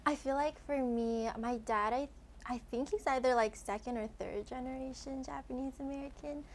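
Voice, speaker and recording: A young woman with a slightly high voice, talking in a casual conversation. She speaks softly and hesitantly and starts her sentences over as she goes. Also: steady tone, normal speed, a little hiss or hum.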